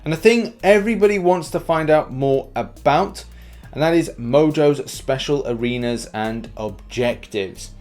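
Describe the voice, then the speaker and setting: A man's voice: on the high side, measured pace, darkish tone. A man hosting a gaming news video, delivering commentary in an informal, conversational way. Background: background music.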